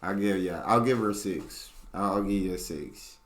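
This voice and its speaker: A man's voice: sits low, moderate pace, fairly bright tone. An American man hosting a reaction video, chatting casually with a playful, teasing, slangy manner.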